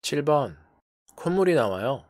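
Brisk teacher calling out numbered phrases. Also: medium pitch, very animated, a little mellow, no echo, clean studio sound. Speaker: English teacher. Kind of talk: language lesson instruction.

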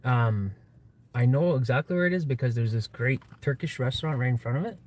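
A man's voice: mid-range voice, a little fast, a little mellow. A young man from Canada whose first language is Italian, chatting in an easygoing, friendly way as a guest on a livestream from a parked car at night. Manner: easygoing, friendly, a little hesitant. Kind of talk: casual chat. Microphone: phone on a mount in the car.

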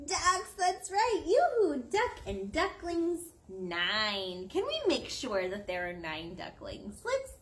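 A young woman doing an animated picture-book read-aloud for her toddler, playful, sing-song and encouraging, chatting to the child as she goes. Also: high-pitched, slow pace, few pauses. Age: young adult. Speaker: mother reading storytime to her toddler. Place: outdoor backyard patio. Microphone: camera a few feet away.

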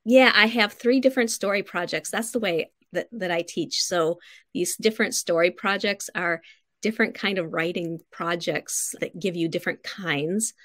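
A woman's voice: lowish voice, normal speed, fairly bright tone, no room sound. A middle-aged woman, a writing teacher, explaining conversationally as a guest on a video call from home. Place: home living room.

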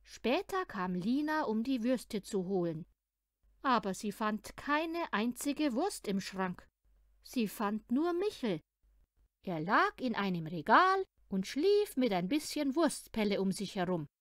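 Lady reading a kids story, unhurried, expressive, talks right to the child, close dry sound. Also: a little high, no room sound. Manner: expressive, addresses the child listener. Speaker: audiobook reader. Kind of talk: scripted children's story narration.

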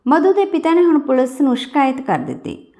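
High-voiced woman narrating a story. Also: very animated, a little fast, dark tone.

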